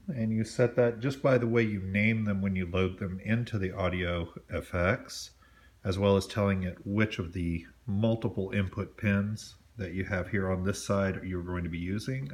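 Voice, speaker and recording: A man with a lowish voice talks through his workbench project in an easygoing, explanatory way. He pauses now and then with a small "uh" or "um". It sounds like an off-camera camera mic at a home hobby bench. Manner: relaxed, explanatory, with small fillers. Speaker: model railroad hobbyist vlogger. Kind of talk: casual show-and-tell narration.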